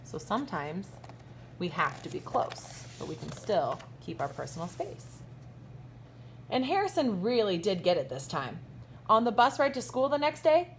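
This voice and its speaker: A woman kindergarten teacher reading a picture book aloud expressively, pausing to ask young listeners questions. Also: mid-range voice, slight background noise. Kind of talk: expressive children's storytime read-aloud with asides.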